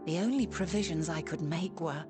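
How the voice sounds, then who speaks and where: Low female voice, actress playing thoughtful ancient woman, formal, measured, resolute, game cutscene, loud music behind. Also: lightly acted. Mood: measured, analytical. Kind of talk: video game cutscene voice acting.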